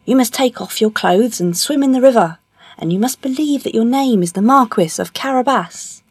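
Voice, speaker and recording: A woman's voice: fairly high pitch, animated, normal speed, slightly rough, dry recording, clean studio sound, voice pushed up. A woman voicing a clever male storybook cat, eager and persuasive, with courtly, emphatic speech. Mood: scheming, urgent.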